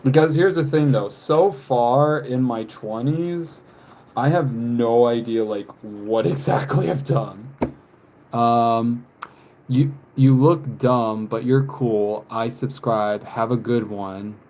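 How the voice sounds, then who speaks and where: A man's voice: mid-range voice, slow, darkish tone, a little hiss or hum, rough recording. A man in his twenties chatting casually on a live stream, rambling with frequent laughs and reading out viewer messages. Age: 20s. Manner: rambling, laughs often, reads chat messages aloud. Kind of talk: casual chat while drawing on a live stream.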